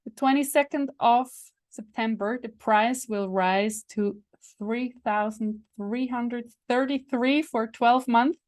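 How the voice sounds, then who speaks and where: A woman whose first language is Swiss German, hosting her own podcast in English and making a casual announcement. She pauses to search for words, with fillers and restarts. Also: on the high side, darkish tone. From Switzerland. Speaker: podcast host.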